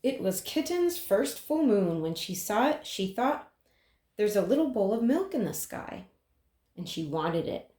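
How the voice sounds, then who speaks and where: A woman reading a children's picture book aloud in an expressive way, with dramatic pauses. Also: medium pitch. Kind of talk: children's story read-aloud. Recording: roomy, echoing sound.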